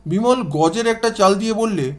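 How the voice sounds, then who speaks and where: High-voiced man narrating a story. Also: moderate pace. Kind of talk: scripted dramatic story narration in Bengali.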